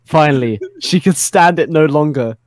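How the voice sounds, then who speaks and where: A man's voice: on the high side, normal speed. A man narrating fan fiction as a dramatic reading, giggly and often close to breaking up. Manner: giggly, keeps breaking into laughter.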